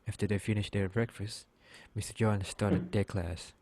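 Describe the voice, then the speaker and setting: A man's voice: lowish voice, little pitch movement, moderate pace, a little mellow. A man reading scripted story narration aloud in a plain way as the narrator of a drama.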